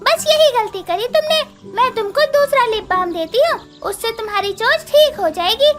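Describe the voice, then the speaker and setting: A woman's voice: extremely high pitch, animated, normal speed, a bit bright, soft background music. A woman voicing a small, assertive female bird who runs a shop in a children's cartoon, speaking emphatically. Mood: bossy, relieved.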